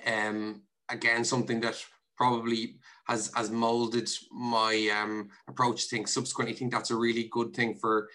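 A man with an Irish accent giving reflective, measured answers as a guest in a conversational interview. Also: mid-range voice, little pitch movement, pushed and bright. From Ireland. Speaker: podcast guest, investment and finance director.

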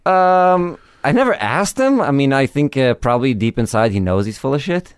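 A man from Brooklyn with a New York Yiddish accent and a slight Israeli tinge, a native Yiddish speaker chatting casually as an interview guest in a halting, wry, informal way. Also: mid-range voice, lively intonation, smooth, dry recording, clean studio sound.

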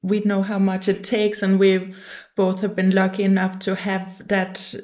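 A woman from Denmark whose first language is Danish, chatting casually as a podcast guest and explaining her show in an even way. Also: medium pitch, unhurried. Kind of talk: casual chat.

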